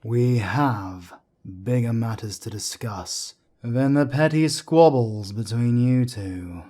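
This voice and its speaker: A calm council elder, voiced by a man, who settles disputes with a level head. He speaks slowly and evenly, in an audio drama. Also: medium pitch. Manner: calm, slow, mediating.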